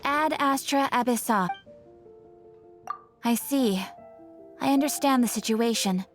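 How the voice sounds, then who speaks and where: A calm guild receptionist speaks politely and formally. Her voice sits slightly high and even. Soft game music plays behind her. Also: slow.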